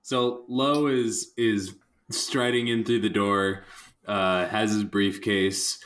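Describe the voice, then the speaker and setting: A man's voice: on the low side, fairly even intonation, slow, a bit bright. A man playing a tabletop game, casually improvising descriptions of what his character does.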